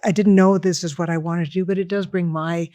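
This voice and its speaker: A woman's voice: on the low side, steady tone, brisk, mellow, dry recording. A woman guest, an architect, talking casually and rambling with many restarts. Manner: rambling, self-interrupting. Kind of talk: casual conversation.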